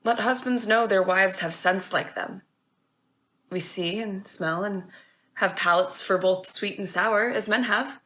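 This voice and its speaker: A woman's voice: medium pitch, unhurried, fairly bright tone, natural voice. A woman acting a worldly, defiant wife in a Shakespearean stage monologue, arguing in a pointed, questioning way. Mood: indignant, frank.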